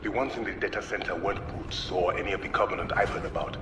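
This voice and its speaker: A man's voice: low-pitched, steady tone, fast talker. An actor playing an earnest, anxious middle-aged father speaking over a radio link in an audio drama. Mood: awed, urgent. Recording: distant, echoey radio with noise.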